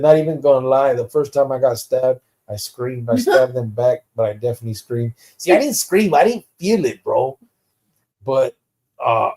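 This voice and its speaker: A man's voice: medium pitch, warm tone. A man from the United States hosting a live stream in casual chat, blunt and profane, dropping slang into his English and reading out comments. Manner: slips Spanish slang into English. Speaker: podcast host.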